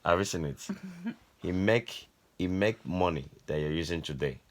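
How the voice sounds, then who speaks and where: Very low-voiced older Nigerian man teaching. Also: wildly swooping pitch, a little slow. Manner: repetitive, exhorting. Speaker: grandfather teaching a Bible story. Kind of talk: informal family Bible teaching.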